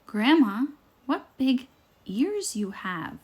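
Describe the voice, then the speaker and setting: A woman's voice: a little high, big pitch swings, slow pace, darkish tone, breathy edge, voice pushed up, heavily acted. A woman voicing a trusting, polite little girl from a storybook, wide-eyed and innocent. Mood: nervous surprise.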